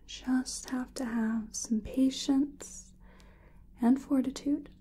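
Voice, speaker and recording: A woman whispering and softly murmuring in a breathy, gentle, soothing way, as an energy healer in an ASMR personal attention roleplay. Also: sits high, fairly even intonation, slow.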